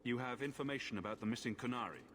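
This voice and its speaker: An actor playing a direct, skeptical adventurer hero in a video game, with a dry, questioning delivery. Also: male voice, medium pitch, racing, a bit bright, slight background noise, natural voice.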